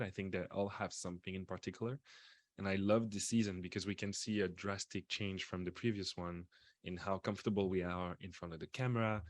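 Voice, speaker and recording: A man's voice: low voice, no room sound. A man hosting a podcast on a video call, talking in an upbeat, easygoing way. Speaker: podcast host. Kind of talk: casual video call chat.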